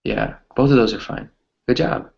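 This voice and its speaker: Male teacher, middling pitch, conversational, encouraging, frequent check-in questions, slightly dull online-call audio. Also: wildly swooping pitch, normal speed, gravelly. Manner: encouraging, often adds "right?" as a check. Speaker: English teacher. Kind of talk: conversational online grammar lesson.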